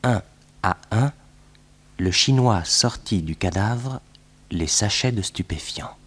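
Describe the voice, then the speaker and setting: An actor playing a detective's loyal assistant, narrating the case in the first person for a 1960s French radio drama in a husky voice. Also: male voice, lowish voice, animated, takes it slowly, fairly bright tone, heavily acted. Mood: dramatic, recounting.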